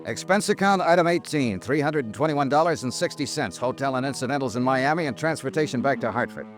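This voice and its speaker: An American actor playing a cool, accusing insurance investigator in a 1950s radio drama, with a clipped, deadpan delivery. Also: male voice, medium pitch, fast talker, lightly acted. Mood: dry, sardonic. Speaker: lead actor and narrator. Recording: vintage radio drama, dry, music behind.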